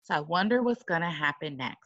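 A woman reading a picture book aloud to children like a teacher, dramatic and expressive, with exclaiming asides. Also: low voice, a little fast, highs cut off.

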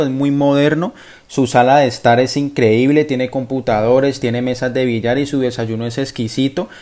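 A young man with a Colombian accent, vlogging his travels to camera in a chatty, enthusiastic way. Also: medium pitch. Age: young adult. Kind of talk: casual travel vlog to camera. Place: outdoors at a stadium and a bus station. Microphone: phone or camera held at arm's length. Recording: outdoor city noise, traffic. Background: loud urban ambience and vehicles.

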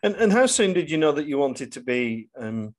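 A man hosting a conversational podcast interview, asking questions in a halting way with frequent restarts. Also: on the high side, big pitch swings. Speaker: podcast host.

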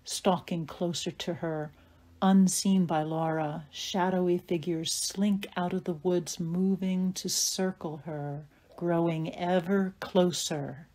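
A woman's voice: fairly low pitch, steady tone, takes it slowly, dark tone. A woman giving scripted narration, reading out stage directions for an audio play.

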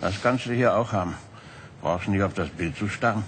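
Man acting cynical hungry tramp in a radio play, lowish voice, grumbly and mocking, bit of noise behind. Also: moderate pace, natural voice. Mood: mocking, dismissive. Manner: complaining, mocking.

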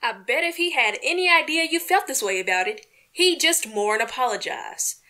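Woman acting a placating, bright-voiced wife. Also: high voice, expressive, normal speed. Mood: reassuring. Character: patient, placating wife smoothing over quarrels. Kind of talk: old-time radio domestic comedy acting.

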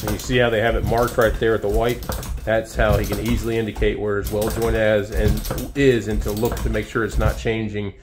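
Emphatic host talking to the folks watching. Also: male voice, fairly low pitch, flat delivery, fairly quick, noisy background. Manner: addresses the viewers as folks, emphatic. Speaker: video host. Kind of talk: enthusiastic host commentary. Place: wood-walled workshop, indoors.

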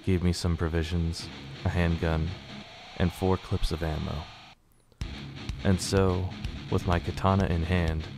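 Narrator: man with a deep voice, serious, measured delivery of scripted radio drama.

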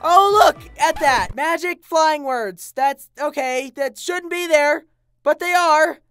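A performer voicing an excitable, easily exasperated female party pony in a parody cartoon, emphatic and flustered. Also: sits high, slow, no echo, faint music behind.

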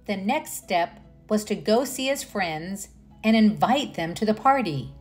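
A woman's voice: mid-range voice, animated, unhurried, a little mellow, soft background music. A woman reading aloud a children's story, expressively and addressing young listeners. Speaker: storybook reader. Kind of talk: children's read-aloud narration.

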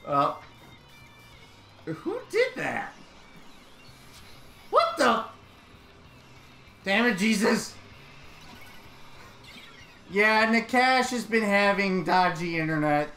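A young man streaming a shooter game from home at a desk mic, commenting excitedly and breaking into high-pitched yelps when startled. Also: extremely slow, long pauses. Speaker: gaming streamer. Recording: roomy with game music behind.

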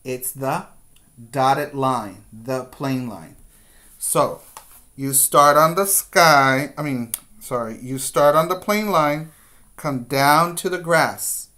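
A middle-aged man giving a preschool lesson as a teacher, with deliberate, repetitive step-by-step instructions, recorded indoors on a webcam. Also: on the high side, sharp tone. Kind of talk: instructional video lesson for young children. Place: small room indoors. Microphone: webcam at desk distance.

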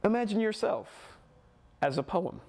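A man reading a poem aloud with deliberate phrasing and pauses. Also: high-pitched, animated, creaky, a little mellow. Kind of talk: dramatic poetry reading.

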